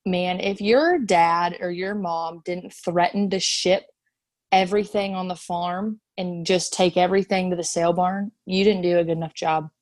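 A woman's voice: lowish voice, steady tone, normal speed, darkish tone, dry recording. Laughing, blunt young woman co-host. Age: 20s. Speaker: podcast co-host. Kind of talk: casual chat.

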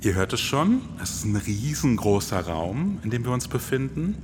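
A man's voice: mid-range voice, sing-song, moderate pace, noisy background. A man in his forties co-hosting a scripted, performative podcast in an enthusiastic, colloquial manner. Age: 40s. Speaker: podcast co-host. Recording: long reverb, music underneath.

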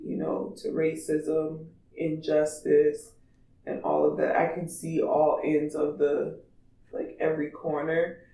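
A man with a raspy voice chatting candidly and emphatically as a podcast co-host. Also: a little high, takes it slowly, warm tone. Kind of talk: casual opinionated podcast chat. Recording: distant mic in echoey room.

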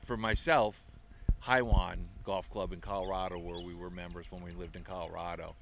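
A man's voice: low-pitched, level tone, moderate pace, fairly bright tone, a little hiss or hum. A middle-aged man, a guest on a golf podcast, telling rambling stories in casual interview talk. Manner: chatty, rambling storyteller. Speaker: guest, avid golfer.

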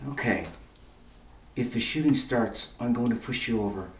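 A woman's voice: a bass voice, normal speed, a little hiss or hum. A woman playing a wisecracking male private detective in a retro radio play, who tosses off teasing, breezy quips. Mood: hushed. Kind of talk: 1940s radio acting. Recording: roomy echo from far off the mic.

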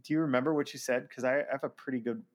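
A man, a voice actor guest in a casual conversation, self-deprecating and laughing often, with halting restarts. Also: mid-range voice, fairly even intonation.

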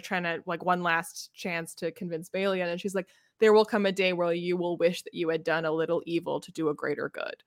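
A woman's voice: mid-range voice, a bit bright, dry recording, clean studio sound. A woman co-hosting a book podcast in casual, slangy chat, acting out bits of dialogue as she retells stories. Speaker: podcast co-host discussing books.

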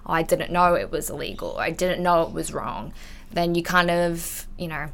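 A woman's voice: lowish voice, moderate pace, creaky, fairly bright tone. A woman in her twenties with an Australian accent, talking candidly and informally in a conversational interview. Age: 20s. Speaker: intellectual property investigator, podcast guest.

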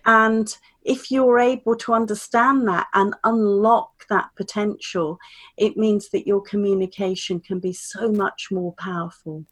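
A woman's voice: medium pitch, fairly even intonation, measured pace, fairly bright tone. Articulate woman speaking trainer. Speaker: speaking trainer, podcast guest. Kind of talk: conversational interview.

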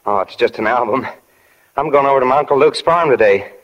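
Guy doing shy polite neighbor kid in an old radio play, medium pitch, dull recording. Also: fairly quick, a bit bright, close to an everyday voice. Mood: bashful. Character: modest, bashful neighbor boy bringing a present. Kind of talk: 1940s radio drama acting.

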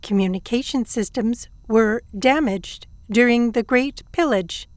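A woman playing a calm, dutiful ship computer in an audio drama, reporting in a measured, formal, emotionless way. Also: a little high, no echo, lightly acted. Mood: impassive.

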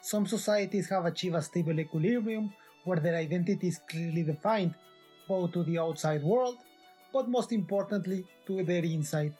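A man hosting a podcast, asking questions and reading a formal scripted outro in a deliberate way. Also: sits high, warm tone, breathy edge, faint music behind. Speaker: podcast host.

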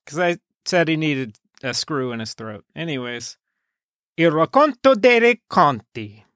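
Higher-voiced deadpan man, dry sound. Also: measured pace, no echo. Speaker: podcast co-host. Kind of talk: casual podcast chat. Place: recorded remotely over a voice chat app.

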